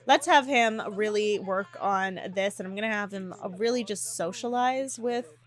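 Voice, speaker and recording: A woman casually commenting on her gameplay, chatty and animated, with frequent ums and laughs, her voice slightly hoarse. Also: mid-range voice, moderate pace. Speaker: gaming YouTuber.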